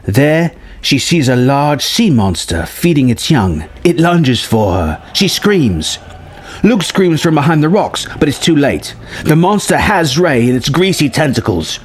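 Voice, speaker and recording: A man's voice: a little high, big pitch swings, unhurried, husky. A man narrating a scripted story in a dramatic style. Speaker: narrator reading a film story treatment.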